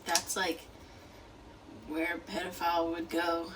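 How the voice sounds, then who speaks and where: A woman with a fairly low voice gives casual, conversational reactions as a co-host. She sits a little back from the mic, with faint noise in the room. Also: monotone, measured pace, fairly bright tone, recorded from a distance, rough recording.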